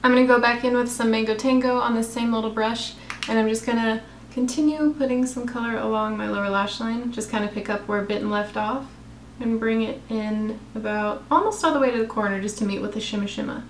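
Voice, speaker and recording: A young woman, a makeup vlogger, chatting casually through a tutorial in her apartment, her voice a bit raspy, talking while she works. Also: a little high, steady tone, brisk, echoey room, a little hiss or hum. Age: young adult. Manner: chatty, self-deprecating, talks while applying makeup. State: slightly raspy voice. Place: small room in her apartment. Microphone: camera close in front of face.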